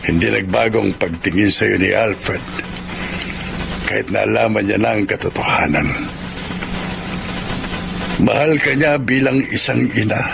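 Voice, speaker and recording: Slow, gravelly, earnest uncle. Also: male voice, fairly low pitch, background noise. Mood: earnest pleading. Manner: measured, solemn, counselling. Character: earnest, gently reproving older brother and wise uncle. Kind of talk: radio drama acting. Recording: music bed under the voice.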